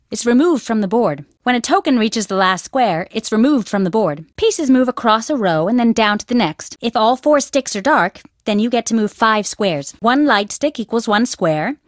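A bossy competitive dig worker. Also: female voice, a little high, dry recording, clean studio sound. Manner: bossy, competitive, explaining game rules. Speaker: game character. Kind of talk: video game voice lines.

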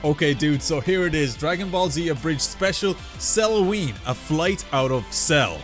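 A man in his twenties giving excited, casual reaction commentary, exclaiming as he hosts. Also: fairly high pitch, normal speed. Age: 20s. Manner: animated, exclaiming. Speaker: reaction video host. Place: small bedroom gaming setup indoors. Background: show audio and music playing faintly.

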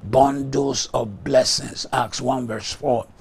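Gravelly-voiced fervent preacher, man. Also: medium pitch, fairly even intonation. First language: Yoruba. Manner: fervent, rhythmic bursts of rapid tongues between teaching. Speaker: pastor. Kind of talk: televised morning devotional sermon with tongues. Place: TV studio set. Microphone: lapel mic.

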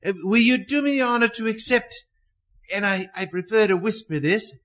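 Man acting a high-pitched reformed old miser. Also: a bit bright. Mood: joyful. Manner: halting, chuckling, exclamatory. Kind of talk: radio play acting.

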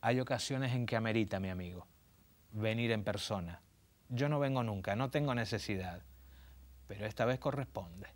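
A man voicing a smooth, boastful male devil in a spoken story, with an Argentine Spanish accent and a low, unhurried delivery. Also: close to an everyday voice. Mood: confiding.

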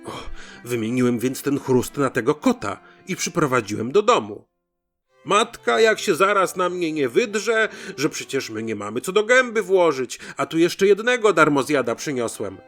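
A man's voice: on the high side, sing-song, moderate pace, a bit bright, soft background music. A man voicing a humble, naive peasant in a folk tale, rambling hesitantly in folksy speech with little hums. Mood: humble, nervous. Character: humble, naive young peasant man pleading his story.

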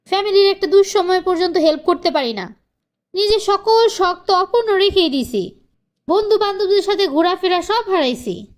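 Cartoon casting: woman as aggrieved young wife, very high voice, plaintive and emphatic, pleading but defiant. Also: animated, normal speed. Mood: indignant, resolute. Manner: plaintive, earnest, emphatic complaining. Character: frustrated young wife, pleading then defiant about independence. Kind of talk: cartoon family drama dialogue.

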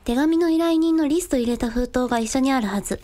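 A woman playing a considerate, composed younger sister with a gently wry streak, in a radio drama. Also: high voice, fast talker, slight background noise, lightly acted. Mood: practical.

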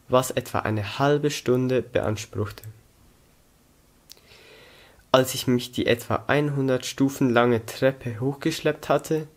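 Teenage young man, mid-pitched voice, careful read-aloud storytelling. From Zug, Switzerland. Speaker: student reading own story. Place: small indoor room against a plain wall.

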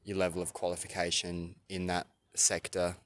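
Deep, flat-voiced young Australian man. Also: normal speed. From Adelaide, Australia. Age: young adult. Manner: thoughtful, hesitant with ums. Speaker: university student. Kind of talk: street interview answers. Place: outdoors on campus.

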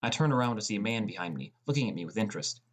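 A man's voice: lowish voice, animated, very fast. A man reading scripted first-person story narration as an audiobook narrator.

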